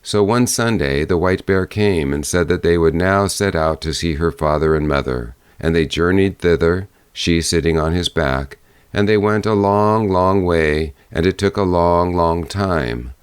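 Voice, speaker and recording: Guy reading an old fairy tale, really low resonant voice, measured, dry close recording. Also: clean tone, dry recording. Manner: measured storytelling. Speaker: audiobook narrator. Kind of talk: scripted fairy-tale narration.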